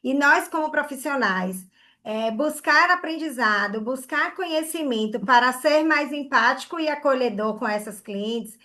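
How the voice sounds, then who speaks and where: A woman's voice: sits high, expressive, unhurried, pushed and bright. A woman from Bahia, giving an online hair care class in an earnest way and often checking in with "né?" and "tá?". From Bahia, Brazil.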